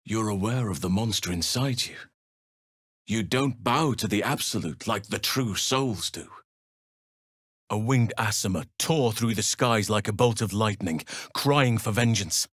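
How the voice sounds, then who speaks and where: Solemn gentle druid for a fantasy game, lowish voice, slow n formal, real dry sound. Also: male voice, husky, no echo. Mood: calm, grave. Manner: measured, formal, archaic fantasy phrasing. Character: stoic, earnest druid man devoted to nature, gentle and solemn. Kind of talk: video game character voice lines.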